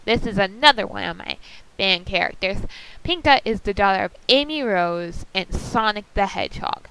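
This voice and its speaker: A woman giving a casual video update as an amateur animator, halting and pausing in mid-sentence. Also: medium pitch, animated, pushed and bright, slight background noise, poor audio. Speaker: amateur animator presenting a voice audition call.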